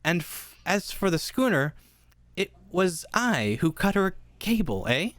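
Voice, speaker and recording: Fearless young lad, played by a man, comedic read; halting taunts with swinging intonation. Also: high voice, sing-song, fairly bright tone, no echo, exaggerated voice. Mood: defiant, proud. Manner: halting, with repeated questioning tags. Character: defiant young boy hero, cocky and fearless. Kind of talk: live comedic table read.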